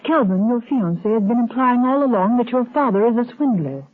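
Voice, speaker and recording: A boxy, tinny old radio recording of a husky-voiced woman. She is an earnest investigator, insistent and deliberate in 1930s drama style. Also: a little high, animated. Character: earnest, persistent woman investigator breaking hard truths.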